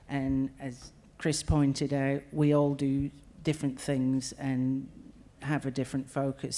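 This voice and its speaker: A woman with an Australian accent answering on a conference panel, her voice nearly gone, talking in a friendly, halting way. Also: very deep voice, mellow. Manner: friendly, halting, repeats words.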